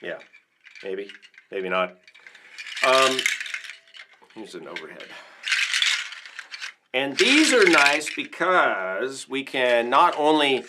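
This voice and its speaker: A man's voice: mid-range voice, big pitch swings, slow pace, pauses often, heavy background noise. A man hosting a casual livestream as a percussion teacher, talking through instruments in an informal, rambling, enthusiastic way.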